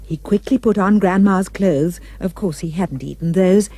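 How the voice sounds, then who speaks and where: A woman giving expressive, theatrical rhyming storybook narration for a puppet show. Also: lowish voice, moderate pace, husky, no room sound.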